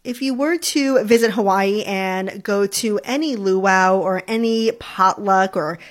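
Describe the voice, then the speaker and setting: A young adult woman from New York hosts a food podcast in a relaxed, friendly way. She talks with enthusiasm about food, in a chatty, conversational manner. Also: mid-range voice, unhurried. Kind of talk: casual solo podcast talk.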